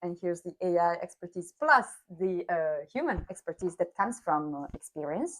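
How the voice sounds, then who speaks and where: A woman's voice: on the low side, very animated, a little fast, a bit bright. A woman hosting a business podcast interview, upbeat and affirming, often saying "mm-hmm" and "nice.". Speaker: podcast host and customer success manager.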